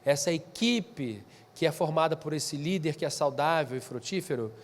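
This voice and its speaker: A man's voice: a little high, expressive, moderate pace. Man with a stuffy nasal voice. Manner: conversational, with frequent restarts and asides. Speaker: speaker at a pastors' training session. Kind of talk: spoken lecture to a live group. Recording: long hall reverb.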